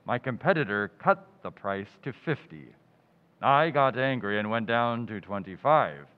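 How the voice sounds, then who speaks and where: An actor playing a pompous, smug middle-aged undertaker in a 1940s-style radio comedy, drawn-out and punning. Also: male voice, mid-range voice, expressive, lightly acted. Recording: tinny, reverberant lo-fi audio.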